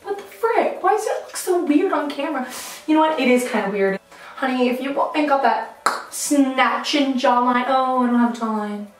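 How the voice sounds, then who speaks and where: A woman's voice: high voice, expressive, normal speed, recorded from a distance. A young woman chatting casually through her makeup routine, playful and self-mocking, often laughing at herself, filmed on a camera mic in a small tiled bathroom. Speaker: beauty vlogger. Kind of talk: casual makeup vlog chat. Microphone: camera mic at arm's length. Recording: echoey bathroom, light background music.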